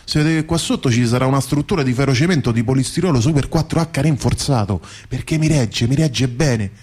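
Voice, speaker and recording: A man's voice: medium pitch, brisk, a little mellow, breathy edge, lightly acted. A humble bricklayer played by a male actor tells his dream in a radio play. He speaks in salty, colloquial phrases, repeating words with earthy wonder. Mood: wondering, chatty. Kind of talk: theatrical radio monologue, colloquial storytelling.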